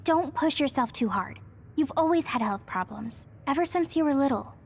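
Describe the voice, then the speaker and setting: A caring young soldier in an anime-style game. Her voice is high and earnest, that of a protective friend. Faint music sits underneath. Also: expressive, normal speed, vocal fry. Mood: concerned. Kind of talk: anime-style video game cutscene acting.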